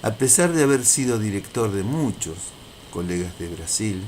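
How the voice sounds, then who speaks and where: A man with a fairly low voice presents a lecture. He speaks deliberately and didactically, returning to key phrases to stress them. There is faint noise behind him. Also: lively intonation, darkish tone. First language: Spanish. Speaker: university lecturer.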